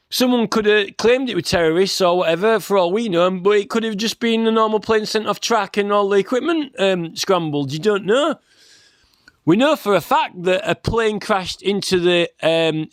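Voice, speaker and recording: A man's voice: high voice, no room sound. A man giving a rambling, informal monologue, insistent and aggrieved, often tagging his points with a checking word. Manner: aggrieved, insistent, repeatedly tags points with "right?". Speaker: complainant campaigning about aviation safety.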